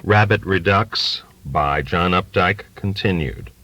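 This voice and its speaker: Very deep, forceful male audiobook reader. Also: slow pace, no echo. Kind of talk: scripted literary narration. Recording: dry audiobook recording from cassette.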